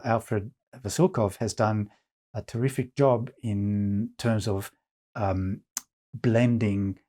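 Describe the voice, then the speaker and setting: A man presenting an informal product review as a reviewer, speaking in a measured way with hesitant pauses between phrases. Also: lowish voice, mellow. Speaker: audio magazine editor and reviewer, presenter.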